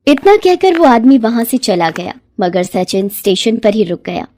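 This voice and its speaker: A woman narrating a scripted moral story in an expressive, dramatic storytelling manner. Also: on the high side, moderate pace.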